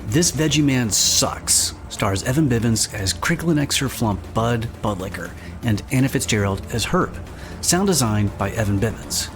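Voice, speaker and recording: A man announcing the credits of a podcast in a scripted read. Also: mid-range voice. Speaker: announcer reading show credits. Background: clear background music.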